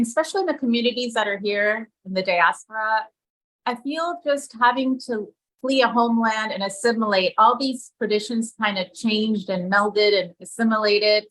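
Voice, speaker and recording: A woman's voice: medium pitch, fairly bright tone, low-quality recording. A woman from Israel who moved to America young, speaking casually as an interview guest, informal and often thinking aloud. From born in Israel, moved to America as a child. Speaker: guest, community leader and activist.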